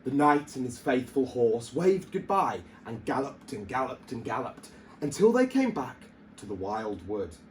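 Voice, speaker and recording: A man's voice: mid-range voice, a little mellow, a little hiss or hum, low-quality recording. A man reading a children's story aloud like a teacher at storytime, theatrical and emphatic.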